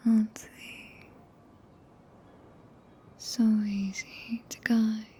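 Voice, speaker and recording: A woman with a British accent voicing a tender, possessive, regal witch in a breathy ASMR roleplay whisper, speaking archaic English in a soothing, commanding manner, close to a binaural microphone. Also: mid-range voice, mellow, full character voice.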